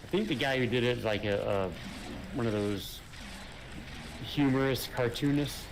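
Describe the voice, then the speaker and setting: A man's voice: medium pitch, measured pace. A man chatting casually while playing a co-op game, rambling and hesitant with many fillers. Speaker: gamer. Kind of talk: casual gaming chat. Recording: noisy game audio behind.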